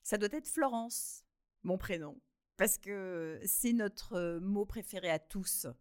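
A woman guest author talking in a radio interview, laughing easily and bursting into loud emphasis, with many hesitations and repeated words. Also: medium pitch, takes it slowly, clean tone.

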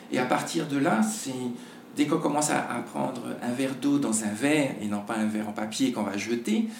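A hoarse man, a podcast guest, talking in a halting way with many restarts. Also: mid-range voice, roomy sound, slight background noise. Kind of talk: casual conversation.

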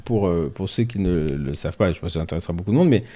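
A man's voice: deep voice, lively intonation, very fast, warm tone. A man hosting a casual, conversational interview with his guests.

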